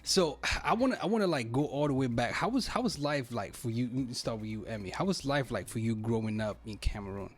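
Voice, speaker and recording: A man's voice: medium pitch, expressive, fairly quick, few pauses, husky. A man hosting a podcast interview in a casual, informal, slangy way. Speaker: podcast host.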